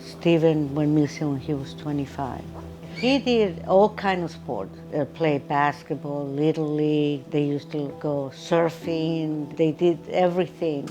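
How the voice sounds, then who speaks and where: An older woman with a low voice and a Chilean Spanish accent speaks in a personal interview. She uses short, simple phrases, and music plays clearly behind her. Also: measured pace, poor audio. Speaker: interviewee, mother of a missing person.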